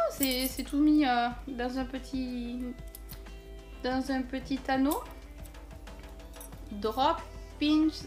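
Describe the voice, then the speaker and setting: A woman chatting casually while unboxing a parcel at home, thinking aloud with many fillers. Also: high voice. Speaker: vlogger unboxing a package.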